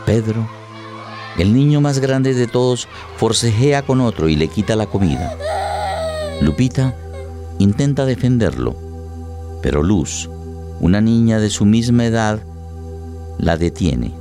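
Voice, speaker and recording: Low-voiced male radio drama narrator. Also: expressive, measured pace, pauses often, mellow, faint music behind.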